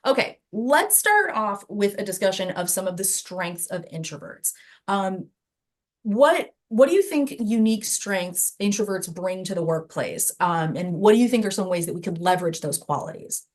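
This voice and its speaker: A woman's voice: mid-range voice, animated, moderate pace. A woman hosting an online session in a casual, chatty and slightly rambling way, speaking over a video call. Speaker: host of an online learning session. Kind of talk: casual moderated chat.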